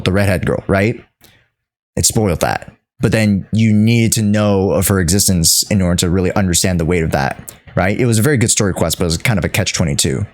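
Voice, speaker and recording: A man talking off the cuff to his livestream chat, halting and repeating himself as he searches for words. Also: fairly low pitch. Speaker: streamer. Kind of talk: unscripted livestream commentary to chat.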